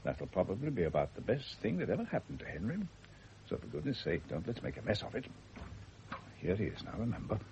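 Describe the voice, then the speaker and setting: Gravelly, deep-voiced family doctor. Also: male voice, sing-song, a little mellow, poor audio. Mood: frank, reassuring. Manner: brisk, frank, fatherly. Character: brisk, kindly, blunt family doctor, reassuring and paternal. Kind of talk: period radio drama acting. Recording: hissy old radio drama recording.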